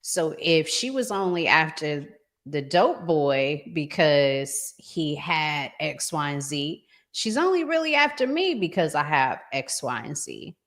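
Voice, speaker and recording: A woman hosting a relationship discussion in a conversational, deliberate way, pausing in the middle of her phrases. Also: low voice, expressive, a bit bright. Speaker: podcast host.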